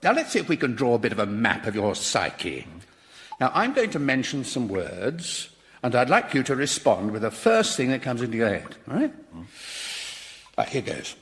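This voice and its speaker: A man's voice: medium pitch, huge pitch swings, normal speed. A man with a British accent playing an earnest, well-meaning professor in hell, hesitant and polite, in a radio sitcom. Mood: earnest, coaxing. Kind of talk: radio sitcom acting before an audience.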